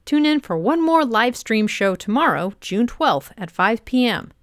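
A woman's voice: on the high side, very animated, unhurried, dry recording, studio quality. A woman hosting a podcast, presenting in a scripted style. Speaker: podcast host.

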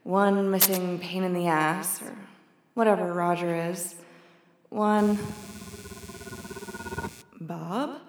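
A woman playing a capable, exasperated team leader in an audio drama, with brisk, sardonic, natural delivery. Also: on the low side, fairly even intonation, roomy sound, long reverb tail, a little hiss or hum. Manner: brisk, sardonic, muttering to herself.

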